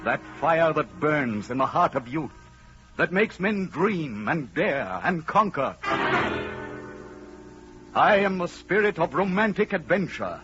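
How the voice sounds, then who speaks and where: An actor playing the spirit of romantic adventure, narrating a 1930s radio drama in a grand, declamatory manner over music on a muffled old recording. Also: male voice, fairly high pitch, big pitch swings, slow, pauses often. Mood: grand, rousing.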